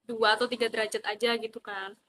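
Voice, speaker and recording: A woman's voice: a little high, little pitch movement, fast talker, bright, forceful tone, a little hiss or hum. A young woman from East Java with an East Javanese Indonesian accent, chatting animatedly about student life. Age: young adult. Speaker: vlogger, university student. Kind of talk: casual chat.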